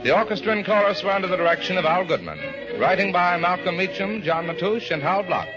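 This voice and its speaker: Man, vintage radio announcer, high voice, formal scripted delivery, muffled recording, prominent music behind. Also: lively intonation, fast. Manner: formal, declarative.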